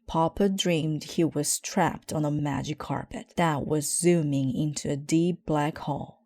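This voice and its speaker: A woman reading a children's story aloud in a measured, expressive way. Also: deep voice, dry recording, studio quality. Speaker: storybook reader.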